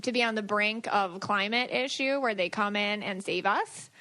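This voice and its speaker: A woman's voice: medium pitch, normal speed, sharp tone. A woman co-hosting a podcast in casual, chatty conversation, talking informally with lots of "like". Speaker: podcast host, psychic aura reader.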